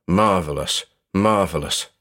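A man's voice: low voice, a little slow, a bit bright. A man voicing a strange inhuman caller in a horror story, repetitive and babbling, with a mechanical, gurgling edge. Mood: eerie babbling. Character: strange inhuman phone caller, mindlessly mimicking and prattling.

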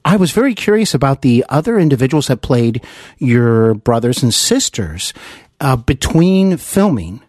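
A man's voice: medium pitch, big pitch swings, normal speed, a little mellow, dry recording. An American man hosting a conversational interview in an earnest, admiring manner, close to the microphone. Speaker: interview host. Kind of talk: conversational radio-style interview.

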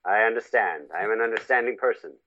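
A man with a deep, gravelly voice, co-hosting a podcast in laid-back, joking chat. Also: a little fast, bright, forceful tone, highs cut off. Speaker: podcast co-host.

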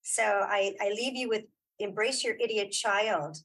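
A woman's voice: medium pitch, fairly even intonation, measured pace, fairly bright tone, slightly rough, low-quality recording. A woman chatting informally as an interview guest, emphatic and encouraging, repeating phrases to make her point. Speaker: interview guest, podcaster and audio drama creator. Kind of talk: casual interview chat.

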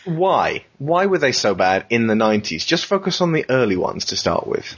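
A man's voice: mid-range voice, sing-song, moderate pace, slightly rough, lo-fi sound. A man hosting a casual panel discussion in an informal, jokey way, putting questions to the others. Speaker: podcast host.

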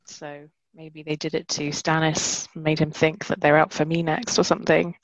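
A woman's voice: deep voice, little pitch movement, moderate pace, highs cut off. A woman co-hosting a podcast, chatting casually with hesitant fillers and self-mocking jokes. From Britain. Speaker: podcast co-host. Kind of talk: casual chat.

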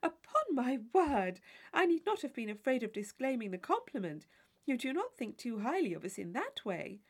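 Audiobook role: arch young gentleman, read by a woman, articulate, playful, highly varied intonation. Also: big pitch swings, normal speed. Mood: playfully earnest. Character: witty, teasing young gentleman, mock-serious. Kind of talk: audiobook character dialogue.

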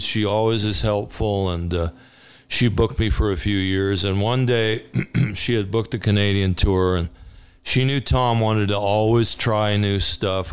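Deep, clear-voiced man telling stories. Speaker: singer-songwriter being interviewed. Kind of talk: casual interview, anecdotal storytelling.

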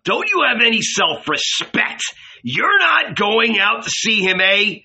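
Storybook innkeeper, played by a male narrator: coarse, angry, blunt swagger, sharp forceful delivery. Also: high-pitched, sing-song, measured pace, breathy edge, voice pushed up, full character voice. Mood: defiant, scornful. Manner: bellowing, cursing, scornful.

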